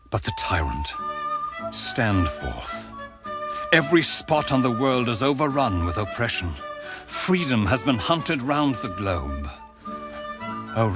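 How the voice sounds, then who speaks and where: A man playing a fervent eighteenth-century revolutionary writer, delivering his words as solemn oratory in a dramatized documentary reading. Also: lowish voice.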